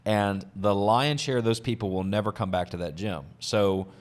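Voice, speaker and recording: A man with a fairly low voice hosts a casual business podcast. He talks emphatically, hammering points by repeating them. He speaks into a podcast microphone. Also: moderate pace. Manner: emphatic, repeats key phrases. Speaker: podcast host.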